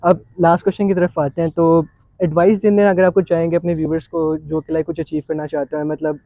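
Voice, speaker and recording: A man's voice: on the high side, flat delivery, a little fast, vocal fry, mellow, no echo, lo-fi sound. A man with a Pakistani accent hosting a conversational interview, mixing English phrases into his speech. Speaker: interview show host.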